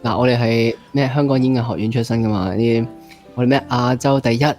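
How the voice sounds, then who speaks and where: A man's voice: medium pitch, fairly even intonation, fast talker, a little mellow, soft background music. A man with a Hong Kong Cantonese accent hosting a casual interview, with a joking, teasing way of talking. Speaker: interview show host.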